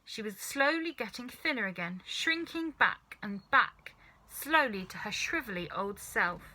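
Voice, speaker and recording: Female storybook reader, bright and expressive, with highly varied melody. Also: unhurried, rough recording. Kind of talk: animated children's story narration.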